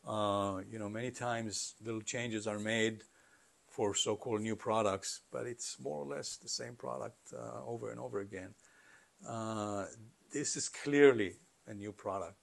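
A middle-aged man giving conversational, explanatory interview answers in a halting way, as a company founder. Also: lowish voice, vocal fry. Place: carpeted listening room, indoors.

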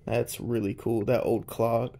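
A young man, narrating a casual, excited walkthrough of an abandoned house. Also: medium pitch, measured pace, creaky. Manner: excited, marveling, chatty. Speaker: urban explorer vlogger. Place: inside an abandoned old house.

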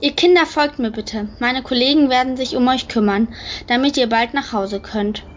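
A woman playing a brisk, practical police inspector in a home-recorded audio drama. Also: high-pitched, slight background noise, close to an everyday voice. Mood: brisk, businesslike. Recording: slightly muffled home recording.

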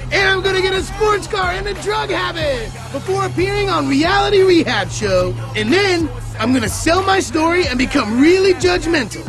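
Spoiled young American rich son, boastful, very high-pitched, game comedy. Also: male voice. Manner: boastful, slangy, shouting. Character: bratty, entitled young son of a movie producer. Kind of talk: video game cutscene acting. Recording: music behind the voice.

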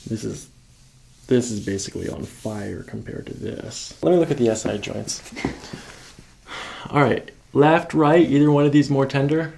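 A man's voice: mid-range voice, unhurried, creaky, darkish tone, a little hiss or hum. A man in his thirties working as a chiropractor, talking a patient through a hands-on exam with instructions and short check-in questions. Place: small carpeted clinic treatment room. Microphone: microphone on a boom arm near the table.

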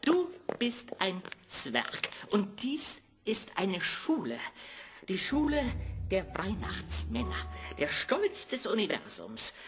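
Audio story role: pompous, impatient dwarf doctor, very lively pitch, drawn-out delivery. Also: female voice. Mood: scornful. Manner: pompous, muttering, exclaiming. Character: fussy, officious pumpkin-sized dwarf doctor, scornful and impatient.

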